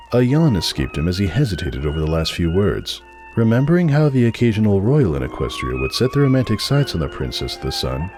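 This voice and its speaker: A man's voice: sits low, a little mellow. A man reading a story aloud as a scripted dramatic narration.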